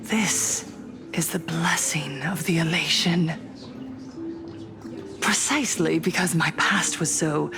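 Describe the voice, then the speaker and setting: Over prominent music, an eerie game prophetess, obsessed with happiness, in a slightly low voice. She speaks very slowly and breathily, savoring each phrase like a seductive hypnotist. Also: creaky. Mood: rapturous, hushed. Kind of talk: dramatic video game cutscene acting.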